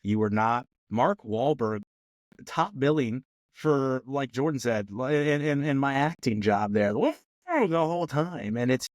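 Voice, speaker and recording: A man's voice: medium pitch, unhurried, no room sound, clean studio sound. A man co-hosting a movie review podcast, rambling with lots of fillers and laughing often in casual chat. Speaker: podcast co-host.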